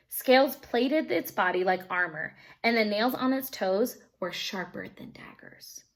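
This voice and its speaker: A woman teacher reading a children's storybook aloud in an expressive narrating style. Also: medium pitch.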